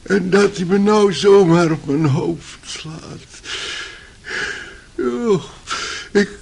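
A loyal old servant in a radio play. He speaks slowly in a raised, husky voice, stammering and halting. He sounds frail, shaken and bewildered. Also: sits high, darkish tone, exaggerated voice. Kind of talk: Dutch radio play acting.

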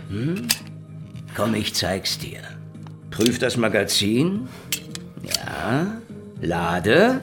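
An actor playing a cynical, sardonic, world-weary private detective in a radio play. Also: male voice, medium pitch. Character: cynical, hard-boiled male private detective, tough and sardonic. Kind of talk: hard-boiled radio play narration and dialogue. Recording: music behind the voice.

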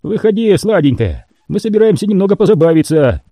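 A crazed male mutant played by a male narrator who gloats and snarls at his captives. The voice climbs well above the reader's own and gets loud, with husky edges. The recording stays dry and close. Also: high voice, sing-song, a little fast, dry recording, voice pushed up, exaggerated voice. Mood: leering. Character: crazed, cruel male mutant with a rolling eye.

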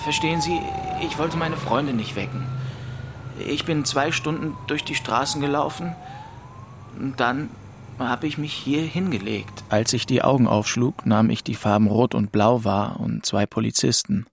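A man's voice: medium pitch, measured pace, creaky, a little hiss or hum. An actor playing a weary, bitter young man who narrates his own story in an audio drama. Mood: weary, fed up. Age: young adult.

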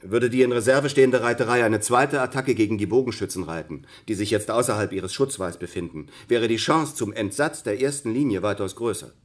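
A man playing a military expert in a radio play. He lectures with assurance in a somewhat low voice, explaining point by point. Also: brisk, few pauses, lightly acted. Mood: assured explaining. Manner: didactic. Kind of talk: radio drama studio analysis.

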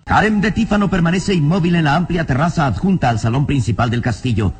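A man's voice: mid-range voice, a little mellow. A man narrating an old radio serial from a script in a solemn, declamatory, dramatic way. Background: faint music.

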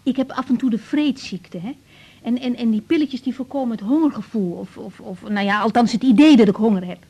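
Young lady doctor in an 80s radio drama, mellow bit husky voice, blunt and impulsive, talks natural. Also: on the high side. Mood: brisk, explaining. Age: young adult. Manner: blunt, coarse-mouthed, halting when confiding. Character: young woman doctor, blunt, volatile, impulsive, hungry to please. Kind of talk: naturalistic 1980s Dutch radio drama dialogue.

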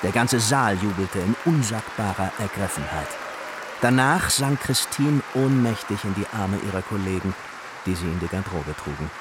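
An actor playing an impassioned nineteenth-century newspaper chronicler in a radio play, declamatory and grandiose. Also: male voice, on the low side, lively intonation, moderate pace, crowd noise, background noise, close to an everyday voice. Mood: rapturous, grandiose. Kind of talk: dramatic period news report.

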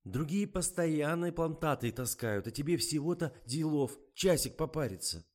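A man voicing a practical, sharp-tongued woman on a studio crew, coaxing and dryly threatening, in an audiobook. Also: fairly high pitch, expressive, moderate pace, pitched above the performer's own voice, natural voice. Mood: coaxing, stern.

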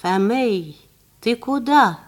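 A woman's voice: on the high side, lively intonation. A woman doing a kindly mighty old man. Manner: gentle, reassuring, unhurried.

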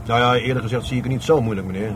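A man playing a plain-spoken middle-aged police adjutant in a radio drama. His medium-pitched voice is colloquial and stubbornly matter-of-fact, with faint vehicle noise behind it. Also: very fast, natural voice. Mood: reasoning aloud. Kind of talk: Dutch radio play acting.

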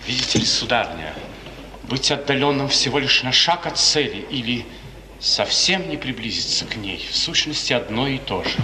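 A man's voice: mid-range voice, sing-song, normal speed, close to an everyday voice. A man playing an earnest, melancholy young count in love, declaiming solemnly in a 1950s radio tragedy. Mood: gloomy. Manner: solemn, lofty, ardent declamation. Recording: noisy 1950s radio recording, echoey hall, distant.

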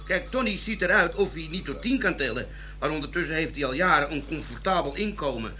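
Sceptical teasing detective, radio drama, fast talk, sharp higher voice, colloquial. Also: male voice, expressive, a little hiss or hum. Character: teasing, sceptical male police colleague. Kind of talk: Dutch radio drama acting.

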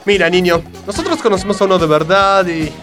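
Man doing an excitable, high-pitched dim sidekick. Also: quick pace, close to an everyday voice. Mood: naive. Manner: eager, exclamatory, naive. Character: excitable dim-witted male sidekick of detective. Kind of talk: parody radio play acting. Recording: music behind the voice.

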